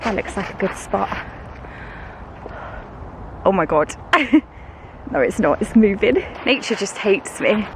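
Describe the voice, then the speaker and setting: A woman's voice: medium pitch, animated, takes it slowly, long pauses. A British woman with a congested, bunged-up nose, walking through the woods as she chats to camera in a self-mocking way and laughs often. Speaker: vlogger and schoolteacher. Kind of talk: casual vlog chat while walking. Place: woods outdoors. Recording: noisy outdoor camera recording. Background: outdoor ambience, passing vehicles.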